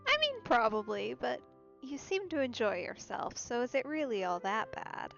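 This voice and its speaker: A woman's voice: a little high, sing-song, moderate pace, gravelly, a bit bright. Dull-sounding woman streamer, casual commentary. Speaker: let's play streamer. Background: faint game music.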